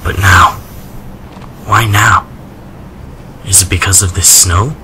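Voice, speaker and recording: Man acting a deep-voiced melancholy man. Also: fairly even intonation, slow, background noise. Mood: wistful. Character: reserved, melancholy man musing on lost love. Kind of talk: radio drama acting. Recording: music behind the voice.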